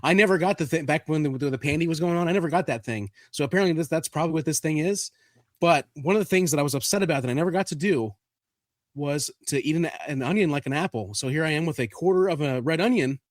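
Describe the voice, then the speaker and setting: A man co-hosting a card podcast, congested and stuffy, talking in a halting way with many restarts. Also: fairly high pitch, no room sound, clean studio sound. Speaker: podcast co-host. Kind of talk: casual chat.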